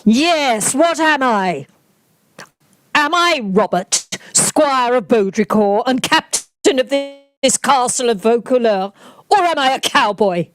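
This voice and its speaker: A woman's voice: high voice, expressive, takes it slowly, no room sound. A woman playing a blustering, bullying male squire and castle captain in a radio play, pompous and imperious. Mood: pompous, scornful. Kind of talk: theatrical radio play acting.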